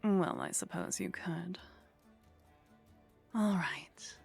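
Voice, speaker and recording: A woman playing a haughty, flirtatious vampire in an intimate ASMR roleplay, speaking softly and close to the microphone with a teasing, condescending manner that often drops toward a whisper. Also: on the low side, drawn-out words, faint music behind, full character voice. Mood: disdainful, wry. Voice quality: soft, breathy.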